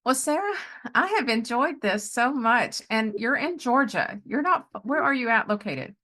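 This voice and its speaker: A middle-aged woman hosting a podcast in a casual, animated chat, often breaking into easy laughter. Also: on the high side, normal speed. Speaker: podcast host.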